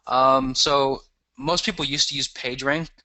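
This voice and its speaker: Bright-voiced man teaching with a cold. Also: medium pitch, steady tone, normal speed, no echo. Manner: casual, explanatory, fillers and restarts. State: has a cold. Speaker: guest expert teaching a webinar. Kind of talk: webinar lesson over slides.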